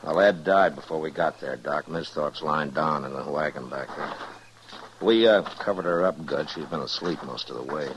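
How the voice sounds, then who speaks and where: An American actor playing a steady, decent frontier marshal in an old-time radio western, speaking in a plain, understated, folksy way. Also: male voice, extremely low pitch, moderate pace. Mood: grave, quiet. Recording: noisy vintage radio recording.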